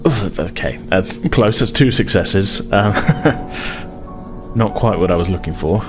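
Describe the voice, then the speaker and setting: A low male voice runs a tabletop role-playing game over a music bed. He narrates as he improvises, feeling his way tentatively through scenes and dice rules. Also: expressive, takes it slowly. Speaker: game master. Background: music under the voice.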